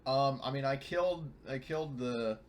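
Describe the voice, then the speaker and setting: A man's voice: medium pitch, moderate pace, a little hiss or hum. A man chatting casually at a tabletop role-playing game as one of the players.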